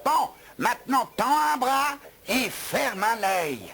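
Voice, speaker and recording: A man voicing a grumpy, gluttonous house elf in an animated film dub, with a theatrical, grumbling, wry delivery. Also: very high voice, takes it slowly, husky, full character voice. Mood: impatient, instructive.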